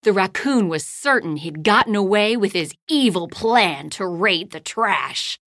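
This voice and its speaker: Woman reading a kids bedtime book, slow and super expressive, dry sound. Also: mid-range voice, no echo. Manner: slow, lively storytelling, hushed at bedtime lines and loud in action. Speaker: storybook reader. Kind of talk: read-aloud children's bedtime story.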